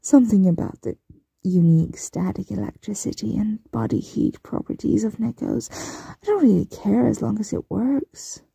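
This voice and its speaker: Woman acting a dark, breathy, possessive yandere mistress. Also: medium pitch, animated, dry recording, full character voice. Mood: menacing, smug. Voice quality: breathy, often dropping to a whisper. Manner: teasing, condescending, drawn-out cooing. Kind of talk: ASMR roleplay.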